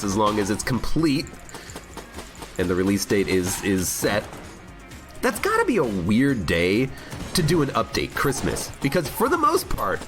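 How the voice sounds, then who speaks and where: A lower-voiced man with a cold, his speech swooping up and down as he rambles through casual stream commentary with self-mocking humor. His voice sounds a little worn. Game music plays loudly beneath him the whole time. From United States. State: has a cold, voice a bit worn. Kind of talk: casual live gameplay commentary. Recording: game music loud under voice.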